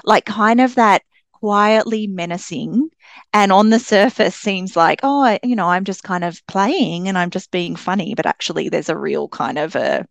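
A woman's voice: medium pitch, normal speed, creaky, no echo. A woman chatting enthusiastically as a guest author on a book podcast. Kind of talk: casual book podcast conversation.

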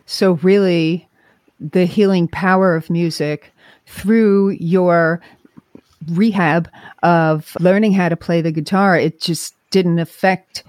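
A woman hosting an interview, asking explanatory questions and restating answers in a measured, clarifying way. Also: lowish voice, steady tone, darkish tone, no room sound. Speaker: podcast host, interviewer.

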